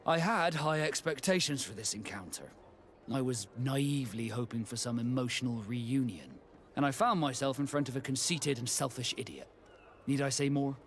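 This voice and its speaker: An actor playing a proud, reserved male ship captain in a video game, speaking in a measured, formal and courteous way. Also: mid-range voice, a little hiss or hum, lightly acted. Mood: bitter. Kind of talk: video game dialogue acting.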